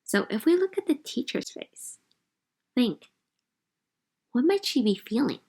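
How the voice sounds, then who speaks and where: A woman's voice: a little high, very animated, measured pace, a little mellow, slightly rough. A woman teacher leading an interactive picture-book read-aloud, expressively addressing young listeners and asking them questions.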